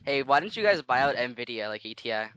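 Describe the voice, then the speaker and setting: A man's voice: mid-range voice, big pitch swings, fairly quick, sharp tone, smooth. A man making a casual prank phone call, rambling in slang and persistently asking naive questions. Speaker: prank caller.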